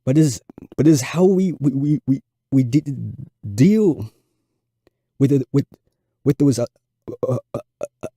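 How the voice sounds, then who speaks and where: A man's voice: medium pitch, expressive, measured pace, pauses often, mellow, husky, dry recording, studio quality. A man voicing a nervous fourth-grade boy with a severe stutter, repeating sounds and words. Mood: anxious, struggling.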